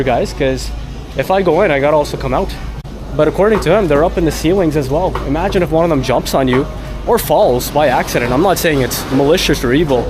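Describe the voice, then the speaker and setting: Upbeat Canadian man vlogging outdoors. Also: fairly high pitch. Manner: upbeat, chatty, addresses viewers as guys. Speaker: travel vlogger. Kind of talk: handheld travel vlog narration. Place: outdoors in a busy city street and market.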